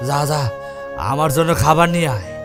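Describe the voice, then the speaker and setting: An actor playing a bad-tempered, threatening drunkard husband in a cartoon, coarse and bullying. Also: male voice, a little high, lively intonation, normal speed, over music. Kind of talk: Islamic moral cartoon voice acting.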